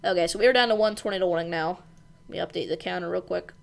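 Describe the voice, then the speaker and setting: A woman hosting a live weather stream, briskly reading out storm warnings in an informal way with some fillers. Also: fairly low pitch, creaky. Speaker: weather livestream host. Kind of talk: live severe weather coverage.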